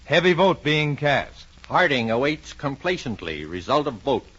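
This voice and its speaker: A man's voice: mid-range voice, animated, moderate pace, a bit bright, slightly rough. Declamatory, muffled male headline reader. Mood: urgent, proclaiming. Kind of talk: old-time radio headline reading. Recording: dull, distant-sounding old radio recording.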